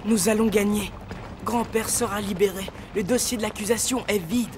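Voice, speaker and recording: Cartoon lad, voiced by a man: high, youthful, earnest and passionate, with music underneath. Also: steady tone, normal speed, lightly acted. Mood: hopeful. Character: earnest, hopeful, passionate boy. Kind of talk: dubbed cartoon acting. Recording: music behind the voice.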